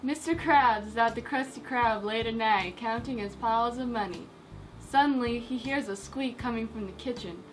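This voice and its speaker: A woman's voice: on the high side, normal speed, slight background noise, poor audio. A young adult woman reading a mock bedtime story aloud in a giggly manner. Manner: giggly, breaks into laughter while reading. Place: indoors, against a dark cloth backdrop.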